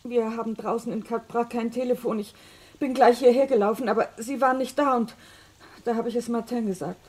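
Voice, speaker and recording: A timid woman from a farm played by an actress in a radio crime play. Her voice sits a little high, and she speaks in halting, stammered phrases, badly shaken. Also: fairly even intonation, darkish tone, lightly acted. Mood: shaken, numb.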